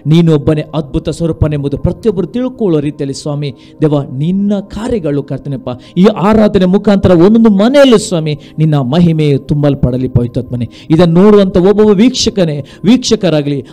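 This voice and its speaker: A middle-aged male pastor from India delivers a fervent sermon and prayer. His voice sits high for a man but has a soft, mellow tone, and he exhorts in repeated, building phrases. Music plays underneath as he speaks into a handheld mic. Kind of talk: sermon and prayer in a church service. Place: dark studio stage with coloured lighting. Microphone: handheld microphone held at chest to mouth.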